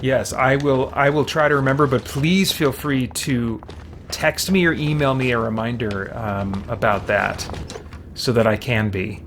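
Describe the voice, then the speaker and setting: A man's voice: medium pitch, steady tone, measured pace, background noise. A man chatting casually as he streams a game, reading on-screen text aloud and muttering mild exclamations to himself. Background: game music.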